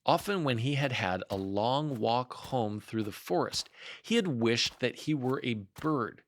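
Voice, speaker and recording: A man's voice: medium pitch, animated, measured pace. A man narrating a children's story as a read-aloud storyteller.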